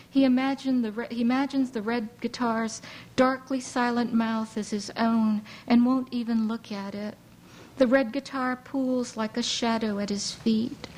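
A woman's voice: on the high side, low-quality recording. Woman poet reading her verse. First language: Hawaiian Pidgin English. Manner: measured, unhurried reading with soft, halting asides between poems. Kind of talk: poetry reading to an audience.

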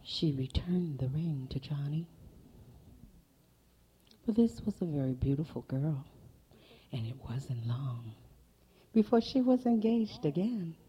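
A woman's voice: low voice, animated, slow pace, pauses often, dull audio, slight background noise. A woman telling folk tales to an audience, dramatic, dropping into whispers for effect. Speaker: storyteller. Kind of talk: folk tale storytelling performance.

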